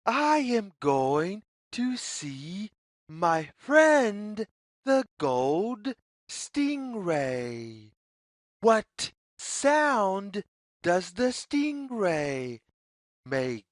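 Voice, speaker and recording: A woman reading a children's story aloud in a deliberate, singsong way, as if inviting young listeners to follow along. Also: medium pitch, big pitch swings, dry recording, studio quality. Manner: deliberate, singsong, repetitive phrasing.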